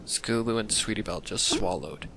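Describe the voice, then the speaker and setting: A man narrating a scripted dark mystery story as a dramatic reading. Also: fairly low pitch, a little fast, creaky, a little hiss or hum. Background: thunder and rain effects.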